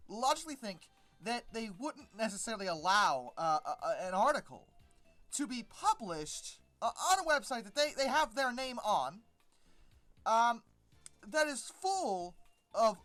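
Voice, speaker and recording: A woman's voice: medium pitch, fairly bright tone. A woman hosting a casual unscripted commentary, with a sarcastic, emphatic, rambling manner that repeats words for emphasis. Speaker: video host and commentator.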